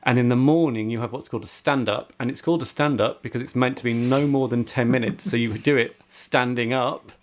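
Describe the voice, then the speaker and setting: A man's voice: medium pitch, brisk, hardly any pauses. A man chatting casually as a podcast co-host, with an explanatory way of talking.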